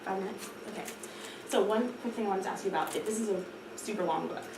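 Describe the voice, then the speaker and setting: A woman's voice: medium pitch, fast talker. A woman speaking as a trainee teacher, rehearsing a classroom read-aloud. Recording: echoey, distant mic, clear background noise.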